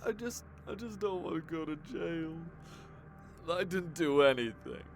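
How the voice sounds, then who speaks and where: An actor playing a volatile, frightened murder suspect, defensive, profane and agitated, in an audio drama. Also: male voice, on the high side, expressive, unhurried, soft background music. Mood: tearful, frightened. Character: sobbing, volatile, frightened male murder suspect under interrogation.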